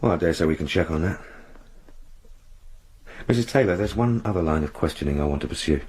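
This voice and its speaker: An actor playing a patient, formal police sergeant questioning a witness. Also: male voice, sits low, lively intonation, a little fast, darkish tone, breathy edge. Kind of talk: radio drama acting.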